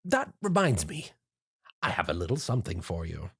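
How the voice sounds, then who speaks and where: A man voicing a smug, chaotic male spirit who talks in a sly, drawn-out, gloating way. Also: low-pitched, wildly swooping pitch, fairly bright tone, breathy edge, full character voice.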